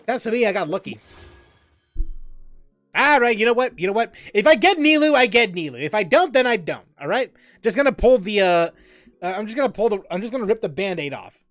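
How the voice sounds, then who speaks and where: High, lively-voiced man streaming a game. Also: fairly quick, soft background music. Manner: rambling, many fillers and restarts, reads chat aloud. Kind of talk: casual gaming stream chat.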